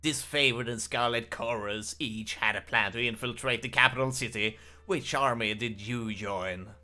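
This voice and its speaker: A man's voice: mid-range voice, normal speed, sharp tone, faint music behind. A man hosting a let's play, reading the game's text aloud and often restarting words as he stumbles.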